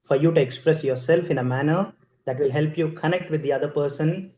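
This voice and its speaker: A man's voice: on the high side, fairly quick, dark tone. Indian man telling his story in an echoey hall. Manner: storytelling, conversational, many fillers and restarts. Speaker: chief guest speaker. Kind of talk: personal story speech at a ceremony.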